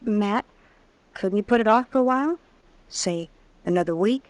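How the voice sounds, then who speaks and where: A woman's voice: mid-range voice, normal speed, dry recording, natural voice. A woman playing a tough, guarded drifter in an old-time radio western, with a terse, probing way of talking. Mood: pleading. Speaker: radio drama actress. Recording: vintage radio drama recording.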